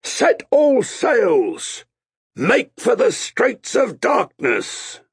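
A man's voice: fairly high pitch, huge pitch swings, slow, vocal fry, a bit bright, slightly rough, no echo, clean studio sound, pitched above the performer's own voice, full character voice. A man voicing a bold, blustery, threatening sea captain in an audiobook, with an emphatic theatrical delivery. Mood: urgent bellowing. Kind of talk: audiobook character acting.

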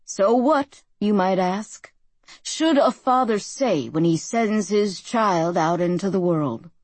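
Fervent male preacher, slow rolling cadence, read by a woman narrator, dry studio audio. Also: mid-range voice, no echo. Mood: preaching. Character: male pastor preaching a televised wedding sermon. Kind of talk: audiobook character reading.

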